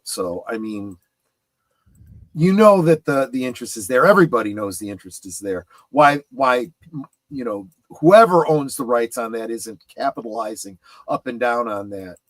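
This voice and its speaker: Man, mid-pitched, channel host, casual discussion, halting and emphatic, dry sound. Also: lively intonation, no echo. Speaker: host of a pop culture streaming channel.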